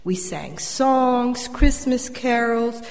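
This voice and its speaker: Older lady from colombia sharing her story at church, measured n a bit wry, echoey hall. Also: fairly high pitch, expressive. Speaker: church member giving a personal testimony. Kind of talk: prepared personal story read to a congregation. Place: church, addressing congregation through a microphone. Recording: reverberant hall.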